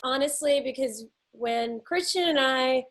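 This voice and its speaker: A middle-aged woman from Nashville with a Southern accent, co-hosting a podcast in casual, laughing chat with frequent ums. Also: high voice. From Nashville, Tennessee. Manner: chatty, laughing often, drawn-out pauses with ums. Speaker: podcast co-host.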